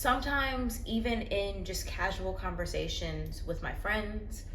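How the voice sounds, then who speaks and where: A woman's voice: medium pitch, a little slow, a bit bright. A woman talking candidly into her phone at home in a personal vlog, earnest and reflective. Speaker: vlogger. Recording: roomy echo, faint background noise.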